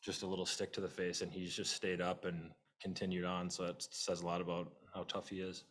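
A man's voice: low-pitched, completely flat delivery, a little fast, fairly bright tone. A man answering as a hockey player at a postgame press conference, plain and offhand with many fillers. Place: arena press room. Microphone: table mic.

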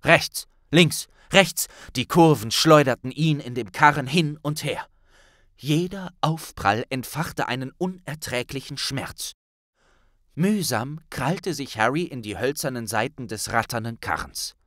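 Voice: mid-range voice, expressive, measured pace, a bit bright, no echo, studio quality. An audiobook narrator reading a dramatic scripted story.

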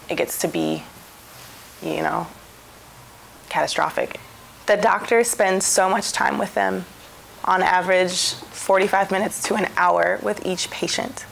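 An American woman in her twenties giving a thoughtful, halting personal testimonial as a medical student. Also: fairly low pitch, vocal fry, a little hiss or hum, rough recording. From United States (Milwaukee, Wisconsin). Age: 20s. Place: small indoor room. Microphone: lapel mic.

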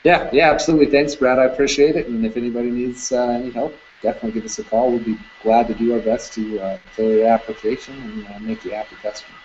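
A man in his thirties, a security camera business owner, talks casually in an interview over a video call, relaxed, with many fillers. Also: medium pitch, fast, hardly any pauses. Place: indoor room with curtains. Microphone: webcam on video call. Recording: dull lo-fi video call audio, faint noise.

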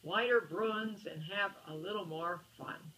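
A woman's voice: mid-range voice, unhurried, a bit bright, lightly acted, low-quality recording. A woman voicing a taunting young male bear who leads a gang of bullies. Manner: taunting, sneering.

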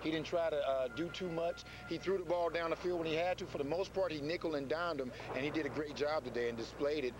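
A man talks through the game quickly in a mid-range voice with an upbeat, plain-spoken manner. Steady stadium noise sits behind him. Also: a little high. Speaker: football analyst. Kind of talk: postgame analysis. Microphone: handheld mic. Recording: broadcast audio with background noise.

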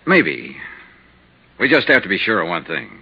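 A man's voice: low-pitched, huge pitch swings, normal speed, creaky, slight background noise, full character voice. An American man acting a tough, persistent insurance investigator in a 1950s radio detective drama, clipped and probing. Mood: dry, probing. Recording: muffled lo-fi 1950s radio recording.

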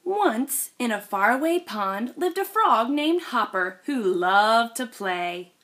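A woman reading a children's picture book aloud in an expressive storytelling style. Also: fairly high pitch, takes it slowly. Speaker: read-aloud host.

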